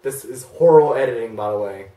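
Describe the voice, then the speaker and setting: A teenage boy reacting to his own old videos in his bedroom, embarrassed and excitable, jumping between mutters and yelps. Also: mid-range voice, quick pace, reverberant, distant mic, low-quality recording. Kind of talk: casual reaction commentary. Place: bedroom, indoors, hugging a big stuffed bear.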